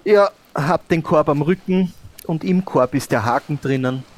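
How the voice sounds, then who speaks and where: A man improvising role-play dialogue as a cork shop owner, speaking haltingly. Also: a little high. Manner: hesitant, halting. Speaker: player in an audio role-play.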